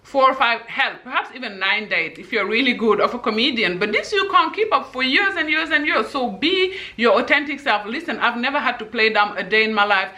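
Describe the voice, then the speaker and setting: A woman in her thirties with a husky voice and a delivery, giving emphatic dating advice straight to camera and ending her points with "okay?". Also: on the high side, lively intonation, a little fast, few pauses, sharp tone. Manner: emphatic, repeats "okay?" to drive points home. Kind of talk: direct-to-camera advice monologue.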